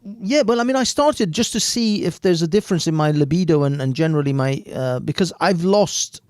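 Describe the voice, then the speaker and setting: A man's voice: a little high, animated, fairly quick, darkish tone, no room sound, clean studio sound. A man in his fifties from Iran, hosting a live stream and chatting casually, rambling and swearing freely. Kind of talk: casual crude chat.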